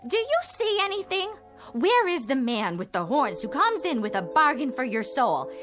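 Very high-pitched, brassy crook's wife. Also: female voice, sing-song, moderate pace, music in the background. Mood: scornful, needling. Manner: slangy, needling, brassy. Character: brassy crook's wife Paula, sharp-tongued, scornful, easily frightened. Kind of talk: radio drama acting.

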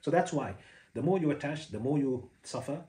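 A man in his forties with a Sri Lankan accent, a native Sinhala speaker, explaining Buddhist ideas to the camera in a halting, filler-heavy way while gesturing with his hands. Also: fairly high pitch, expressive, dark tone. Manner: hesitant, many uh fillers and restarts. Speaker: former Buddhist preacher. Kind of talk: casual talk to camera. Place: indoor room with a home shrine.

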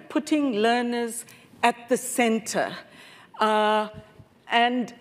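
Older woman in a hall. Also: fairly high pitch. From South Africa. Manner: earnest, speaks with conviction. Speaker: panelist, professor. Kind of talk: conference panel answer. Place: large conference hall stage. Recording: reverberant hall.

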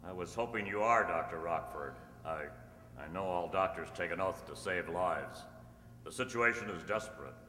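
A man playing a calm, upright masked cowboy hero with measured, formal delivery, in old-time radio style on stage. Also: deep voice. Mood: persuasive. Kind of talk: old-time radio drama acting read from script. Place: stage in a community hall. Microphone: stand microphone. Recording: echoey hall, humming background noise.

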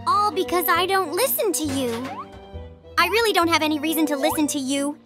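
A woman's voice: very high-pitched, over music. A woman voicing a bossy young number creature in a kids' cartoon, arguing with its sibling about who is in charge. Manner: lively, bossy, quick to argue. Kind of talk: cartoon voice acting.

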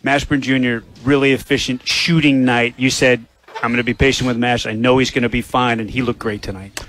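A man's voice: medium pitch, little pitch movement, brisk, fairly bright tone, slight background noise. A man who is a radio broadcaster, asking a coach polished, upbeat questions after a game. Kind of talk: postgame radio interview.

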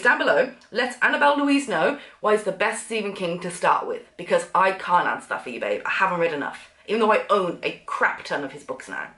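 A woman talks to camera at a rapid clip, her voice a bit blocked up from a cough. She chats casually and animatedly from a sofa. The room around her rings with echo. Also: medium pitch. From England. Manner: chatty, animated, gestures while talking. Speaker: vlogger. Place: sunroom with sofa, indoors.

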